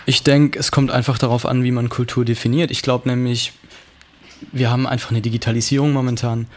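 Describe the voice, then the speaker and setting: A man's voice: mid-range voice, level tone, fairly quick, a little mellow, a little hiss or hum. Reflective male radio panel guest. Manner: reflective, thinks aloud in long sentences. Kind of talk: casual radio panel chat.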